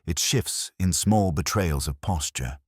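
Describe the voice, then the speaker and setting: A man playing a weary, brooding older police inspector, narrating his case in a measured, solemn, deliberate way. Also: low voice, expressive, breathy edge, no room sound, studio quality, exaggerated voice. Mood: brooding, watchful. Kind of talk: scripted first-person mystery narration.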